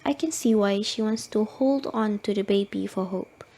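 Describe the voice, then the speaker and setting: A woman's voice: medium pitch, normal speed, heavy background noise, lightly acted. A woman playing a compassionate young friend and neighbour in a radio drama. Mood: pitying.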